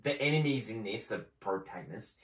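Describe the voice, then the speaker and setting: A man's voice: on the low side, lively intonation, a little fast, a bit bright. A man hosting a movie review show, presenting in a casual, conversational style. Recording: echoey room.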